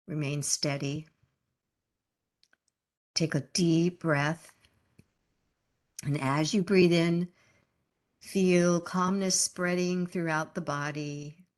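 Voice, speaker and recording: A woman teaching meditation in a low voice. She speaks very slowly and gently, leaving calm pauses between short instructions. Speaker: yoga nidra meditation teacher.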